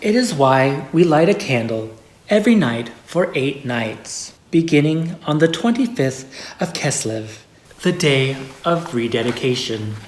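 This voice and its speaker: A person reading a holiday story aloud in a measured storybook way with expressive pauses. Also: medium pitch, slight background noise. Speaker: story reader. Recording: echoey room.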